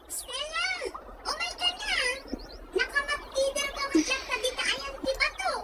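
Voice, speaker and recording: A woman's voice: very high-pitched, unhurried, over music. A woman with a Filipino Ilocano accent playing a frank, nosy young village friend in an audio drama. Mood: calling out.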